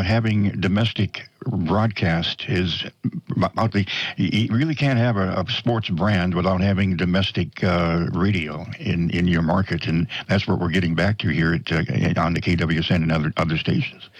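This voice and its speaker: A man's voice: sits low, fairly even intonation, moderate pace, dry recording, muffled recording. An older man hosting a local radio show, rambling in a folksy way and joking with his guest. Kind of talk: radio interview.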